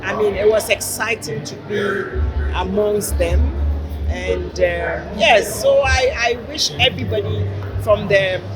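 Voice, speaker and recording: A woman from Ghana's Volta region, a guest speaking warmly and earnestly in an interview with many fillers. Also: mid-range voice, a little slow, rough recording. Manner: warm, earnest, with frequent fillers. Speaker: guest, kente weavers union director. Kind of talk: interview conversation. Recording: loud music and crowd behind.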